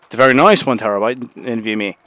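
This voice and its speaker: Brief: male streamer, loose and sweary, casual commentary over gameplay, middling pitch. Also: very fast, a bit bright. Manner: chatty, swears freely.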